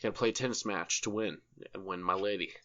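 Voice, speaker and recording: A man chats as a podcast co-host in a fairly low voice with a sharp, forceful edge. His delivery is slangy, casual and enthusiastic. The recording sounds slightly dull and lo-fi. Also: steady tone, fast talker. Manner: slangy, heavy casual swearing.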